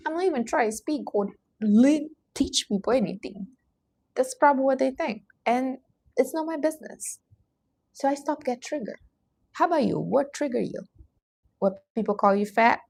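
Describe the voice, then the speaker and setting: A woman's voice: high-pitched, animated, normal speed, gravelly, a little mellow, slightly rough. A woman with a Portuguese accent, Portuguese her first language, giving an earnest, emphatic motivational talk while hosting a livestream. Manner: earnest, emphatic, non-native grammar. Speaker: livestream host.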